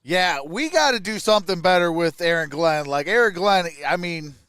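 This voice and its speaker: A man's voice: sits high, expressive, normal speed, fairly bright tone, clean tone, no echo, studio quality. A man giving informal live fan play-by-play on a sports stream, reacting aloud and chatting with viewers. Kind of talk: live fan commentary and chat.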